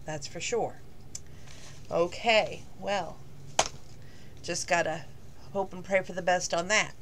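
A middle-aged woman, slightly hoarse from losing her voice, rambling casually in a talking-to-camera vlog with lots of fillers. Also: fairly low pitch, fairly even intonation, takes it slowly, noisy background. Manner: rambling, chatty, with many fillers. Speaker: vlogger.

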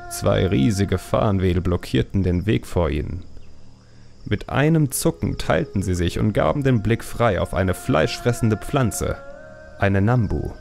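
Slow, deep, gravelly male storyteller. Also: a little mellow. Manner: measured, deliberate storytelling. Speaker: audiobook narrator. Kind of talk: slow dramatic scripted fantasy narration.

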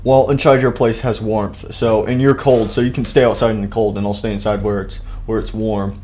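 A man's voice: fairly low pitch, level tone, fairly quick, a little mellow, natural voice. A man voicing a cocky, lazy zombie monster with a blunt, taunting delivery in a comic skit. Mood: smug. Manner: blunt, taunting, laid-back. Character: cocky, lazy, gruff male zombie monster, self-styled big spooky. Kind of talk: improvised comic character skit.

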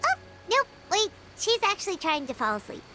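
Squeaky little anime game fairy girl, bossy n excitable, super high and swoopy. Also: a little fast, loud music behind the voice. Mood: curious, chatty. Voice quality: squeaky, childlike. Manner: exclamatory, speaks of herself in third person. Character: tiny excitable floating fairy girl companion, bossy and indignant. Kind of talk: anime video game dialogue.

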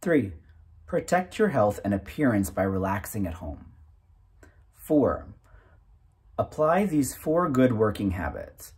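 A man in his thirties reading a self-help booklet aloud in a deliberate, item-by-item way with pauses. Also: lowish voice, sing-song, darkish tone, breathy edge. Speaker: reader.

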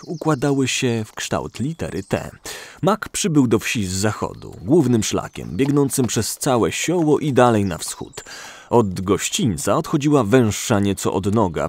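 Low-voiced man narrating expressively. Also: breathy edge. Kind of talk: scripted fantasy audio drama narration.

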